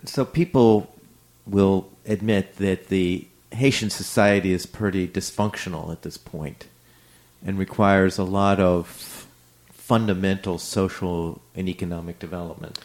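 A man's voice: low voice, steady tone, measured pace, darkish tone. A man interviewing a guest, asking short, direct questions in a casual tone.

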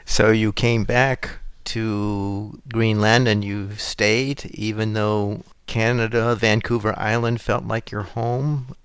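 A man's voice: fairly low pitch, level tone, takes it slowly, hardly any pauses, a bit bright, clean tone, no echo. A man interviewing a guest, asking short follow-up questions.

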